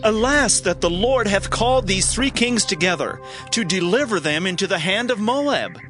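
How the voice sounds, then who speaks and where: A man's voice: high-pitched, animated, moderate pace, over music. Anxious king, widely swooping voice. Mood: anguished, fearful. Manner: dramatic, declamatory. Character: anxious, complaining ancient king. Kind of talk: dramatized scripture acting.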